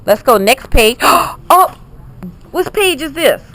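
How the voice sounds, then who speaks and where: A woman's voice: high voice, sing-song, slow pace, sharp tone. A middle-aged or older woman, a grandmother, casually coaxing a child through reading with encouragement, exclamations and repeated prompts. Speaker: grandmother coaching a child to read.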